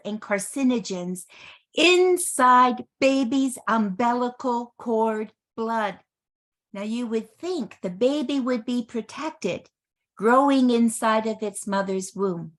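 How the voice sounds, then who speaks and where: A woman in her early sixties presents health products in a motivational style. Her voice sits a little high and she speaks slowly and deliberately. She leans on key words and repeats phrases for emphasis. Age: early 60s.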